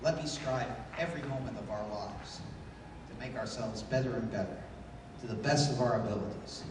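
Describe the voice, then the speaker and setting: An actor playing a calm, commanding, idealistic adventure hero in 1930s radio style, speaking in a formal, measured, declamatory way. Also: male voice, mid-range voice, natural voice. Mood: solemn. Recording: echoey hall from far off, background noise.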